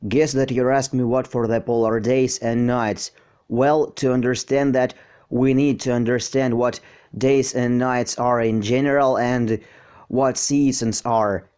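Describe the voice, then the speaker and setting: Slow, hesitant man explaining in a dry room. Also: medium pitch, little pitch movement, darkish tone, clear, resonant tone, no room sound. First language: not English. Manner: slow, hesitant, with frequent fillers. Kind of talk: unscripted educational explanation.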